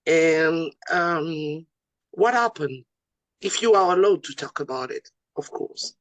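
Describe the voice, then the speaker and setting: A woman's voice: low voice, expressive, slow pace, no echo, rough recording. A woman with a French accent interviewing a guest in casual talk, with many fillers and restarts.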